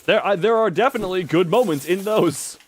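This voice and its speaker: A man chatting casually on a gaming livestream, rambling and expressive with mildly crude exclamations. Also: high-pitched, brisk, background noise. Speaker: live streamer.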